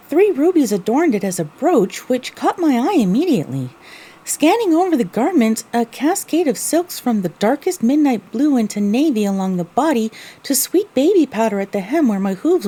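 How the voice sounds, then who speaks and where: Woman as husky, mellow young mare narrator. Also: fairly high pitch. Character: young pony mare narrating her own story, wistful. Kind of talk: first-person scripted narration, audio drama.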